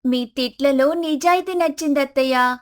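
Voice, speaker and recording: A high, youthful daughter-in-law acted by a woman from an animated village story. She speaks respectfully yet frankly, explaining things to her elders. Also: moderate pace, lightly acted. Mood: amused, helpful. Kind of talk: animated moral story acting.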